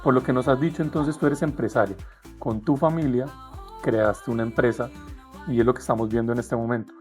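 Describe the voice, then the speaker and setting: A man's voice: medium pitch, steady tone, a little fast, soft background music. A Colombian man interviewing a new member of his association, encouraging and affirming as he asks questions. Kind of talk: casual interview.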